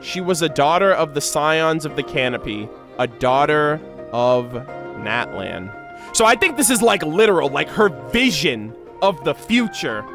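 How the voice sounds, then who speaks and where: Man, fairly high voice, enthusiastic game reactor, expressive reading, slangy casual commentary, music clearly behind. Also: a little slow. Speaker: video creator reacting to game lore and voice lines.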